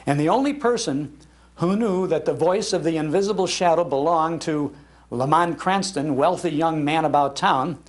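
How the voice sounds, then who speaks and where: An older American man with a Midwestern accent tells nostalgic stories to a live audience in a folksy, animated manner, repeating phrases for emphasis. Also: fairly high pitch, moderate pace. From Chicago, United States. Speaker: speaker giving a talk on old-time radio. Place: public library, live talk.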